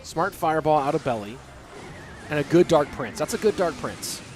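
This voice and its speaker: A man's voice: on the high side, moderate pace, faint music behind. A young man with a stuffy nose from a cold, casually casting a match and chatting with viewers between plays. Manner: relaxed, chatty, answers chat questions between plays. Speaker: esports commentator. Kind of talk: solo play-by-play casting and reading chat.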